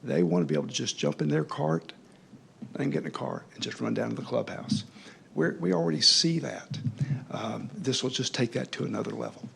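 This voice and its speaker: A man presenting a housing development to a city council in a confident, folksy, persuasive way, clearing his throat as he starts to lose his voice. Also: medium pitch, animated, fairly quick, a bit bright, slight background noise. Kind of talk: public meeting presentation.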